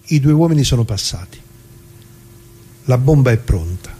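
Man acting a mellow, mournful old worker. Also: mid-range voice, lively intonation, slightly rough. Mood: grave, bitter. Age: older. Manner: halting, repetitive, emphatic pauses, direct address to listeners. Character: dead retired working-class man, bitter, plain-spoken, mournful. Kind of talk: theatrical radio monologue.

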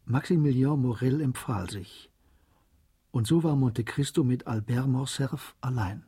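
A man narrating a German radio drama as a calm, measured literary storyteller. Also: medium pitch, husky. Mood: calm, narrative.

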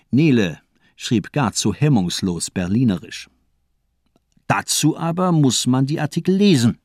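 A man playing a cold, cynical, calculating murderous doctor in a German radio crime drama, eloquent and deliberate, with coolly ironic phrasing. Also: lowish voice, a little mellow, no echo, studio quality.

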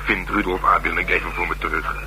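Low-voiced gruff streetwise detective, noisy recording. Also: male voice, flat delivery, fast, sharp tone. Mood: insistent. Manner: colloquial slangy talk, dry and wry. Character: male police detective, gruff, streetwise, worldly, asking a favour. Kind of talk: Dutch radio drama dialogue. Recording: noisy radio drama recording.

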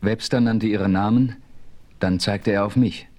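Casting: man as composed frontier hero and storyteller, low voice, measured dramatic narration for a western radio play. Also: steady tone, warm tone, close to an everyday voice. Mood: suspenseful. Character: calm, self-assured adventurer narrating his western exploits.

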